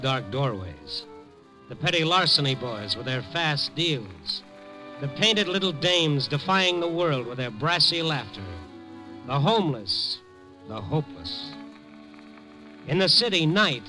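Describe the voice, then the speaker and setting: A world-weary night reporter. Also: male voice, on the high side, animated, pushed and bright, rough recording. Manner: wry, streetwise, measured narration. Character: hard-boiled male newspaper reporter narrating. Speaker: narrator and lead. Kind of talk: 1950s radio drama acting. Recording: old radio broadcast with music.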